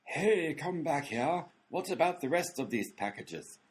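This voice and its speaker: A man playing a booming, baffled office boss. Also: animated, a little slow. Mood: indignant. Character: grumbling male package office boss, loud and baffled. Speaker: clerk at a package office. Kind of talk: comic radio play acting.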